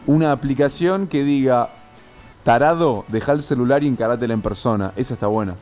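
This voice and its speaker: Casting: Argentine man, young, mid-pitched, rapid slangy delivery, casual panel debate. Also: a little mellow, soft background music. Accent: Argentine Rioplatense Spanish. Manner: argumentative, slangy. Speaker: radio show host. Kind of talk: casual radio panel chat.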